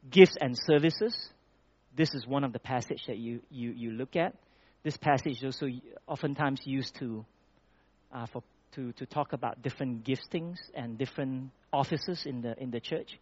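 A man's voice: medium pitch, no room sound, highs cut off. A man from Malaysia preaching a sermon, reading scripture with rising emphasis and then talking plainly with small restarts.

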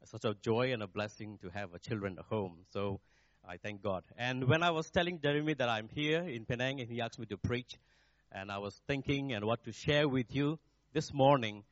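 A man in his forties, a native Nepali speaker with a Nepali accent, preaching in a halting, searching way as a guest speaker at a church. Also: mid-range voice, dry recording. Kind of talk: sermon. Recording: dull, dry sound.

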